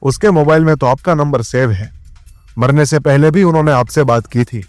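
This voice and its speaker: An actor playing a stern, probing police inspector in an audio drama, firm, formal and authoritative. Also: male voice, on the high side, normal speed, darkish tone, dry recording, soft background music, lightly acted.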